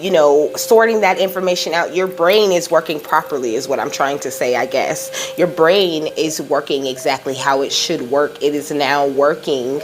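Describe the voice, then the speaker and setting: A woman's voice: sits low, moderate pace, hardly any pauses. A woman giving a casual psychic card reading, rambling and reassuring, peppering her talk with "you know" and "okay?" while passing on messages from Spirit. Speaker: psychic tarot reader.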